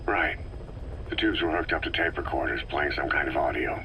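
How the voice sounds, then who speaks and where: A man's voice: very deep voice, moderate pace, bright, forceful tone, slight background noise, heavily acted, poor audio. A man playing a stoic military commander in a video game, speaking in clipped, laconic phrases. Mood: stoic, probing. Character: terse, stoic male soldier commander of few words. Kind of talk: scripted video game cutscene dialogue.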